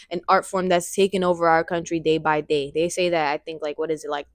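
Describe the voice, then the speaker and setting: Woman in her twenties, low-ish voice, dry close sound, rambling excited vlog talk into a handheld mic. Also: no room sound. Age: 20s. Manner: excited, rambling, repeats phrases. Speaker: vlogger. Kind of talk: casual vlog monologue. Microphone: handheld microphone.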